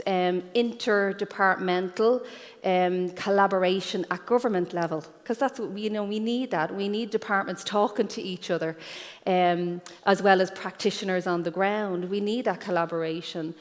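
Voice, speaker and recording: An Irish woman giving an explanatory conference presentation as a guest speaker, pausing often with ums. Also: mid-range voice. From Ireland. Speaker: guest speaker, careers guidance professional. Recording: echoey hall with long reverb.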